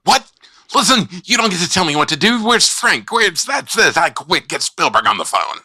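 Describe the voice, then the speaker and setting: A man playing a petulant, excitable little studio executive who snaps at people in a comic radio drama. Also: high voice, normal speed, breathy edge, no echo, full character voice. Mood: outraged. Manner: excitable, snappy. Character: testy, petulant little male studio executive, quick to quit.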